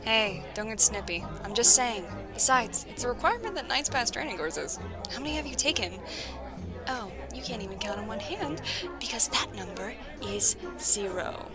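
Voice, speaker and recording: A woman's voice: a little high, expressive, normal speed, over music. An actress playing a cocky, teasing young female knight in an audio drama.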